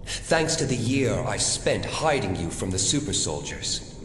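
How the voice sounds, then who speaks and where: A coolly menacing male mastermind speaks low, with lively swings of pitch and firm control. His audio drama lines ring in a long echo over prominent music. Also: unhurried, a little hiss or hum. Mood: bitter, taunting. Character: cool, commanding telepathic male mastermind with a grudge. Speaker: actor playing a villainous leader. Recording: echoing hall, loud music bed.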